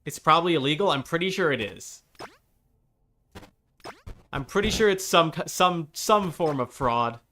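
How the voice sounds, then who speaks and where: A man's voice: on the high side, lively intonation, normal speed, pauses often, bright, forceful tone. Male game streamer chatting over background noise. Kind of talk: casual live-stream chat while gaming.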